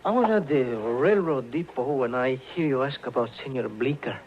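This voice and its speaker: A man playing a humble, chatty, sheepish townsman who speaks halting English with a Mexican accent in a radio western. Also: medium pitch, lightly acted. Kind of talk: old-time western radio drama acting. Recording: dull, hissy old radio recording.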